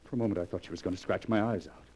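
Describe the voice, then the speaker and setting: An actor playing a bitter, defiant husband with an earnest, melodramatic delivery in 1940s radio drama style. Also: male voice, low-pitched, rapid-fire, darkish tone, natural voice. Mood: haunted, subdued. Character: bitter, defiant husband desperate to leave his marriage. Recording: noisy 1940s radio recording.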